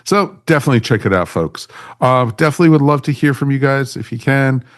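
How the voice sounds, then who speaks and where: A man's voice: medium pitch, lively intonation, fast talker. A man hosting a podcast in casual, chummy chat, addressing everyone like friends. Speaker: podcast host.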